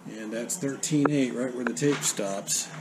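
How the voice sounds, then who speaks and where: A man's voice: mid-range voice, measured pace, background noise. A middle-aged man casually narrating his boat build, matter-of-fact and thinking aloud as he works in a cluttered home workshop. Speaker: woodworker vlog host.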